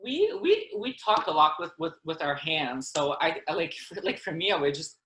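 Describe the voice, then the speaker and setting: A man in his thirties, a French speaker, talking as a guest in a casual video-call interview, hesitant and quick to laugh. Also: fairly high pitch, sing-song, sharp tone. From Ontario, Canada. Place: home room. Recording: echoey video-call audio.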